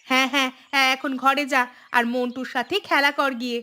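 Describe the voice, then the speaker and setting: A woman's voice: sits high, level tone, brisk, pushed and bright, smooth. A woman voicing a nagging, exasperated village mother in a cartoon, scolding and complaining. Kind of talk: cartoon voice acting.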